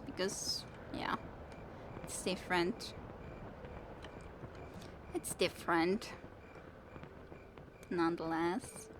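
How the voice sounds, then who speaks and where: A young woman streaming a game and chatting with viewers in a soft, musing way; English is not her first language and her voice is worn out from long reading. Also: fairly low pitch, slight background noise, rough recording. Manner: soft, musing, self-talking. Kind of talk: casual gameplay chat with viewers. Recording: game music in background.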